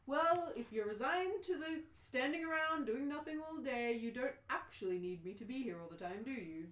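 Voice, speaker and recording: A woman's voice: sits high, animated, moderate pace, fairly bright tone. A woman playing an exasperated, bewildered ordinary man in an amateur radio play, dry and questioning. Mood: reasoning, wary. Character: exasperated, bewildered ordinary Englishman defending his house. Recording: roomy, echoing live reading.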